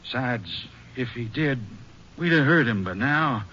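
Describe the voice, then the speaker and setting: A man's voice: medium pitch, lively intonation, measured pace, bright, forceful tone. An actor playing a folksy Texas farmer who soothes and brushes off his wife, in 1950s radio drama style. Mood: reassuring, impatient. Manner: folksy rural phrasing. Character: sleepy Texas farmer husband, dismissive and soothing. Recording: dull hissy old radio recording.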